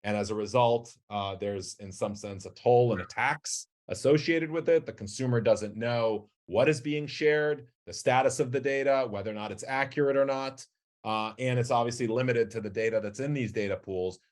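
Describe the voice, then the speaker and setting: A middle-aged man giving measured, articulate explanatory answers as an executive guest in a business interview. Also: mid-range voice. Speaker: company chief executive, podcast guest.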